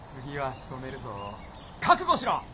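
An actor playing a jumpy, superstitious hunter in old Japan for a radio drama. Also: male voice, mid-range voice, huge pitch swings, unhurried, noisy background. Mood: grimly intent.

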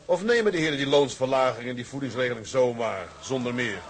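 Male actor as restless officer: rapid, direct, mid-pitched, challenging, period drama. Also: husky, close to an everyday voice.

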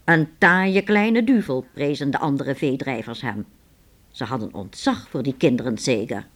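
A narrator telling a scripted story for a radio play in a measured storytelling manner. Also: mid-range voice.

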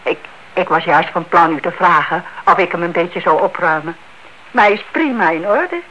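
A woman's voice: fairly low pitch, animated, moderate pace, sharp tone. A woman acting in a radio drama, playing a chatty, hospitable and inquisitive neighbour who fusses and insists. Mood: friendly, nosy. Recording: dull, faintly hissy old radio recording.

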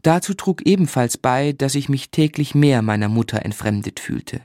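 A male actor narrating a radio play as a grown man looking back on his boyhood, in a measured, reflective storytelling manner. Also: mid-range voice, animated, a little mellow, no room sound, clean studio sound, natural voice.

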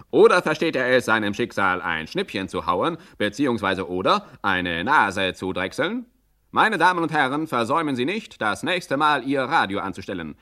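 A man's voice: lowish voice, pushed and bright. A man narrating a 1960 German radio crime satire in a formal, mock-sensational manner.

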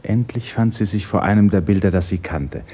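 An actor as the composed, observant literary narrator of a radio play, measured and detached in manner. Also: male voice, lowish voice, dark tone, husky.

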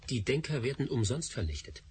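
Brief: an actor playing a quiet, resolute scientist, mid-pitched, faint background ambience. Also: male voice, big pitch swings, a little hiss or hum, natural voice. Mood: determined. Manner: measured, technical, gentle with his family. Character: male scientist Doktor Spassky, calm and determined. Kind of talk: radio play acting.

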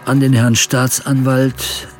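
A man's voice: mid-range voice, flat delivery, slow pace, over loud music, natural voice. An actor playing a formal, pedantic and resigned man nearing eighty in a reflective radio play monologue. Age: 70s.

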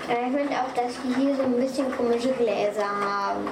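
Woman w high voice just chatting, noisy kitchen sounds, echoey. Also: brisk. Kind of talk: casual chat. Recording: noisy echoey room, clattering dishes.

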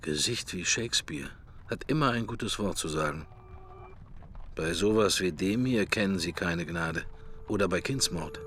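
A man's voice: sits low, lively intonation, a little slow, fairly bright tone, slight background noise. An actor playing a musing, wry middle-aged man in a German radio drama, speaking his thoughts in clipped, understated fragments. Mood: brooding, musing.

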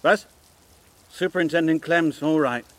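A blunt older police inspector in a radio crime drama. His voice sits on the high side for a man. He grumbles and speaks with dry sarcasm, like someone close to retirement. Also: a little slow, fairly bright tone. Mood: brisk, gruff. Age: 60s. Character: gruff, sardonic older English police detective near retirement. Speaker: actor in a radio play.

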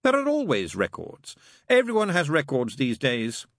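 A man's voice: on the high side, wildly swooping pitch, normal speed, dry recording, studio quality, voice pushed up. A man voicing a sly, impatient male demon in an audiobook, with a sarcastic, exasperated manner. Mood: brisk, smug.

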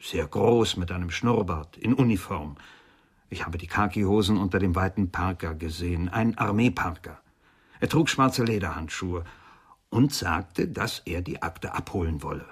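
An actor playing a precise, defensive office professional in a German radio crime drama. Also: male voice, sits low, normal speed, husky. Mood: precise, recounting.

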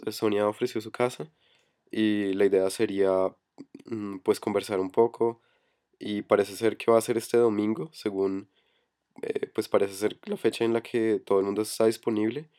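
A hoarse man with a cold, running an online voice chat meeting and speaking hesitantly with many fillers. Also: fairly low pitch, warm tone. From Colombia. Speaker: meeting coordinator. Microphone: computer headset for voice chat.

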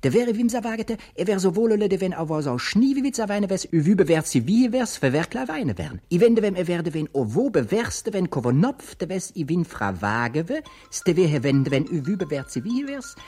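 A theatrical reciter of nonsense language for radio. The voice is husky and sits in a middle register, swinging widely up and down. Each babbled syllable is playfully over-articulated. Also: mid-range voice, very animated, normal speed, hardly any pauses, dark tone, faint music behind. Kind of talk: literary wordplay recital in a radio play.

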